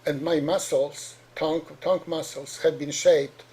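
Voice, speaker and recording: A man's voice: on the high side, steady tone, unhurried, warm tone, breathy edge, low-quality recording. A middle-aged man from Poland with a heavy Polish accent, a doctor giving an earnest explanatory talk to parents, often repeating and restarting words.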